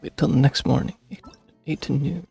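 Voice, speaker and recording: A man with a gravelly, husky voice giving casual, rambling gameplay commentary, muttering to himself on a close mic. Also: on the high side, steady tone, brisk, a little mellow, no echo. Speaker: video game streamer. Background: game music.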